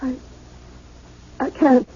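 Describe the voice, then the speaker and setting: An actress playing a distraught, high-strung young woman in a 1970s radio drama, pleading, insistent and tearful, with halting repetitions. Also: mellow. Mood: subdued, sad. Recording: muffled vintage radio broadcast.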